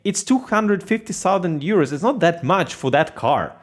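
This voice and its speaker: Excitable man. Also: high voice, moderate pace. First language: Russian. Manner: enthusiastic, rambling rants. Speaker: podcast host. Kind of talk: casual podcast chat.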